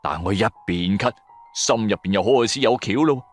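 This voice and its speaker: A man's voice: on the low side, normal speed, faint music behind. A man with a Cantonese accent narrating a suspenseful adventure story in the first person, with theatrical, emphatic delivery. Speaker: audiobook narrator.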